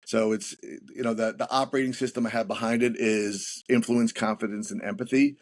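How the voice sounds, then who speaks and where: A man who is a guest on a business podcast, explaining his methods in a steady way while often repeating words as he restarts. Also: on the low side, fairly quick. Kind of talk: interview answers on leadership.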